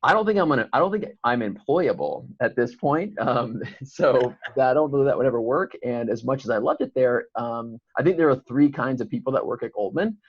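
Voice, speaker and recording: A man from Chicago, a guest on an interview podcast, talking quickly and rambling. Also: medium pitch, lively intonation, husky, no room sound, dull audio. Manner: fast, rambling, many fillers and restarts. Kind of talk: casual interview conversation.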